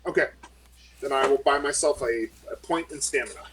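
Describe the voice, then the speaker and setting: A man's voice: mid-range voice, fairly quick, a bit bright, slight background noise, poor audio. A man playing in a tabletop role-playing game, thinking aloud hesitantly with many fillers. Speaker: player. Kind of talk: casual game chat.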